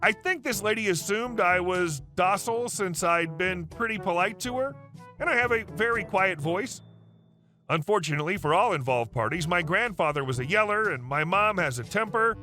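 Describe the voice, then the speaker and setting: A man narrating online stories in a wry, animated scripted way. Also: high-pitched, moderate pace, faint music behind. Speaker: story narrator.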